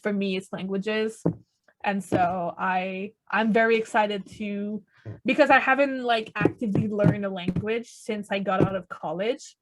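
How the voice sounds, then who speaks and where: A woman's voice: medium pitch, steady tone, a little slow, a little hiss or hum, rough recording. A young woman whose first language is French, co-hosting a podcast in casual chat and telling stories with frequent restarts. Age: young adult. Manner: chatty storyteller with frequent restarts and laughter. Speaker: podcast co-host.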